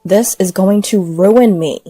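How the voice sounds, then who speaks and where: A woman's voice: medium pitch, slow, warm tone, no echo, soft background music. A woman voicing a callous husband in his thirties, dismissive and belittling, in a narrated story. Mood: scornful.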